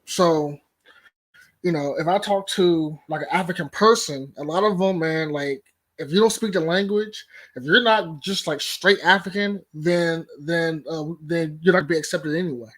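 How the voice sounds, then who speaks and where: High-voiced, animated young man talking. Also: moderate pace. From United States. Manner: animated, repeats "you know what I'm saying". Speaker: panel guest. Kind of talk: casual panel discussion.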